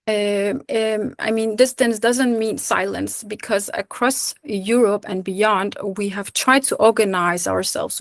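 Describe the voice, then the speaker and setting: An earnest young woman talks on a video call for a webinar, pausing often with ums and restarting her sentences. Her voice has a gravelly fry, and the sound is dry and close. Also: medium pitch, dry recording. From Kurdistan region of Iraq. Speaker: webinar panelist, Kurdish diaspora activist. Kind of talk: webinar discussion.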